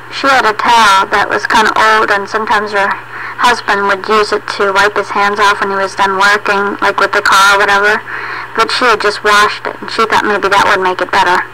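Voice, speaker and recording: A woman telling a story as narrator of a homemade audio play, in a plain, halting way. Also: medium pitch, pushed and bright, slight background noise, low-quality recording.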